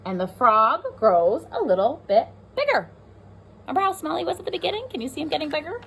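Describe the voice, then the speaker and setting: Woman, preschool teacher, highish voice, very lively intonation, children's read-aloud, stresses rhymes. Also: fast, gravelly, slight background noise. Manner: expressive, sing-song, addresses young children, stresses rhyming words. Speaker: pre-K teacher reading aloud.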